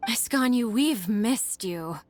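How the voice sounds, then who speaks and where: Tired clerk girl, slow drawn out, highish, dramatic n deferential, anime game. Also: animated. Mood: adoring.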